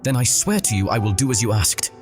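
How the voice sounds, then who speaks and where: A man's voice: mid-range voice, big pitch swings, fast, over music, natural voice. Earnest, lovelorn young man, Raoul, acted by a man. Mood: earnest, anxious. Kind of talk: audio drama acting.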